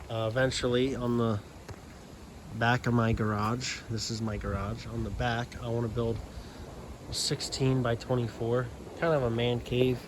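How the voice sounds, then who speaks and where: American male, hobbyist walkthrough, unhurried and halting delivery, casual and unscripted, slightly noisy home-video sound. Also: mid-range voice. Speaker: amateur radio hobbyist giving a setup tour.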